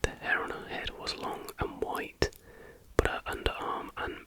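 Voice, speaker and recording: A man telling stories and commenting in a soft, breathy ASMR whisper, with deliberate delivery. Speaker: ASMR host.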